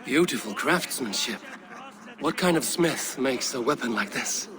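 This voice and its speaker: An actor playing a steadfast, wry young Viking warrior in a video game, dry and plain-spoken. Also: male voice, mid-range voice, expressive, a little slow, crowd noise, close to an everyday voice. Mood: sincere, steady. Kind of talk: video game cutscene acting.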